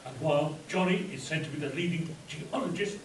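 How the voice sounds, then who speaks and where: A man's voice: fairly high pitch, a little fast, close to an everyday voice. A man playing a self-assured, loyal lawyer sidekick in a live spoof radio play. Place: convention hall before a live audience. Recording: echoey distant mic, audience noise.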